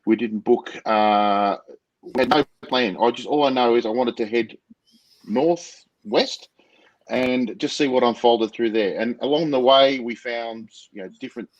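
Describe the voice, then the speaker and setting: A man with an Australian accent, a guest on a chat show, speaking with a loud, projecting voice in an enthusiastic, spontaneous way. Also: medium pitch, a little slow, dull audio. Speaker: guest, motovlogger. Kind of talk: casual interview chat.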